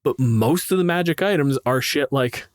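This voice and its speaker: A man with a mid-pitched voice talks casually in a dry, close recording. He is a blunt, opinionated podcast co-host who swears freely as he discusses tabletop game rules. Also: lively intonation, normal speed, dry recording.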